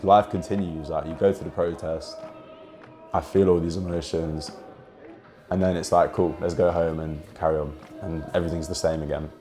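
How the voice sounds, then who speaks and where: A man's voice: sits low, fairly even intonation, normal speed, mellow, soft background music. A twenty-year-old man from Jordan and the UK gives earnest, heartfelt, reflective personal testimony. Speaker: documentary subject telling his family's story.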